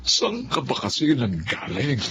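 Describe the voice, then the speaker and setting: A man playing a caring middle-aged uncle in a Filipino radio drama, speaking in an earnest, measured way. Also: mid-range voice, huge pitch swings, pushed and bright, slight background noise, exaggerated voice. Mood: concerned.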